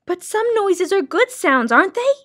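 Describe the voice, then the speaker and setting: A woman's voice: high-pitched, big pitch swings, normal speed, pitched above the performer's own voice. A woman reading a storybook as a curious, eager young boy who asks earnest, insistent questions.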